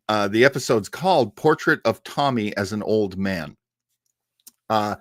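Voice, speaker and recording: A man hosting a livestream in a casual, rambling, halting way, telling stories and asides with an amused manner. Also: on the low side, fairly bright tone, dry recording, clean studio sound. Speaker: livestream show host. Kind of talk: casual livestream chat, storytelling.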